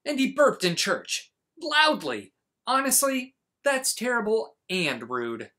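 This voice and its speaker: Very animated man reading a storybook. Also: high-pitched. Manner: dramatic, exaggerated emphasis with pauses. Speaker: children's storyteller. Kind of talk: animated storybook read-aloud.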